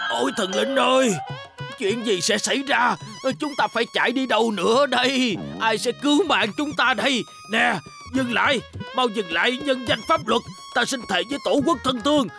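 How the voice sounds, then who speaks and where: Cartoon police chief: melodramatic coward, pompous wail, high gravelly voice, music behind. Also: male voice, normal speed, pushed and bright, full character voice. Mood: panicked, then pompous, tearful. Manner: pompous, wailing. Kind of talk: Vietnamese cartoon dub.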